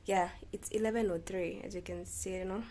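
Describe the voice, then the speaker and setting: A woman's voice: medium pitch, fairly even intonation, a little fast, warm tone, slight background noise. A young Kenyan woman with a Kenyan accent, vlogging in a rambling, chatty way and slipping Swahili phrases in. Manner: rambling, self-correcting, mixes in Swahili. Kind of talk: casual chatty vlog.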